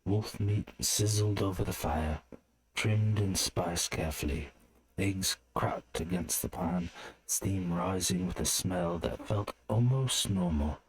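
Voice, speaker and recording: Male narrator for a fantasy audio story, very low register, unhurried delivery. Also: poor audio.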